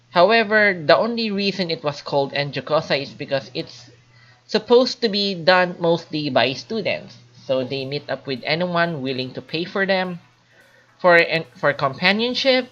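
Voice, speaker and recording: A woman giving an informal spoken game review in a hesitant, halting, explanatory way. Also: low-pitched, fairly bright tone, low-quality recording. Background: light background music.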